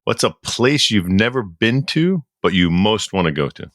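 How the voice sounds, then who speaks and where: A man in his fifties hosting a podcast and running a brisk, friendly lightning round over a video call. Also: fairly low pitch, lively intonation, fairly bright tone, dry recording, studio quality. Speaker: podcast host. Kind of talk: hosting an interview. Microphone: headset on video call.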